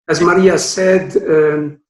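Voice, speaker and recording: Slow, hesitant Moroccan-born man. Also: fairly high pitch, fairly even intonation, darkish tone. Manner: hesitant, many fillers and restarts. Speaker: guest speaker. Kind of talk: career talk. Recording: echoey room.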